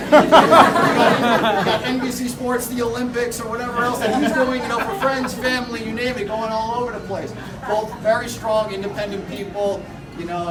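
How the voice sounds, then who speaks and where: High-voiced man toasting in an echoey hall. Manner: rambling and joking, heavy with "you know" fillers. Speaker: best-man-style speaker, bride's older brother. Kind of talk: wedding rehearsal dinner toast. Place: rehearsal dinner hall. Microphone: handheld microphone. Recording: echoey room, voice from far off. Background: loud music and crowd.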